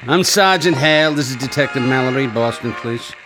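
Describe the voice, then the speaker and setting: An actor playing a gruff, skeptical, wisecracking police sergeant, blunt and slangy, in a detective radio drama. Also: male voice, mid-range voice, brisk, over music, close to an everyday voice, rough recording. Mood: scornful. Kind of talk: old-time detective radio drama acting.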